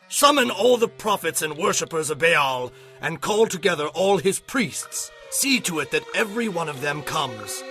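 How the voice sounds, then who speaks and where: An actor playing a commanding, ruthless warrior king in a dramatized audio Bible, with emphatic, declamatory delivery. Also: a little high.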